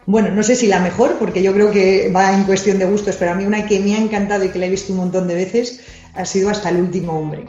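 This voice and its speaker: A woman's voice: medium pitch, steady tone, quick pace, dark tone, faint music behind. A woman from Madrid, a doctor and science communicator, answering quiz questions in a chatty, candid way. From Madrid, Spain. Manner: chatty, candid, self-deprecating. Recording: roomy, dull lo-fi recording.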